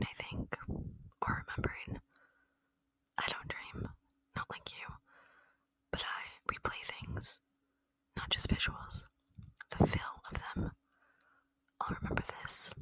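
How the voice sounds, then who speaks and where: An ASMR performer voicing a gentle, lonely ancient alien in a breathy whisper, speaking tenderly and reflectively in a roleplay. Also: no room sound, rough recording.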